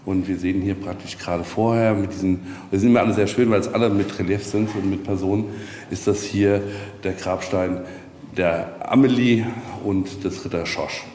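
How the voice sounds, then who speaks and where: A man explaining as a tour guide, in a slightly halting, informative way. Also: low-pitched, fairly even intonation, darkish tone. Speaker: castle leaseholder, tour guide. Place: small castle chapel. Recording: echoey stone room, faint ambient noise.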